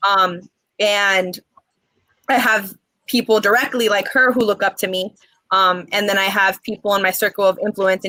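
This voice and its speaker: A woman in her twenties, born in Mexico and raised in the United States, speaking earnestly as a guest with many pauses. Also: mid-range voice, bright, forceful tone, dry recording, rough recording. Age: 20s. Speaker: guest poet. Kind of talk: casual interview chat.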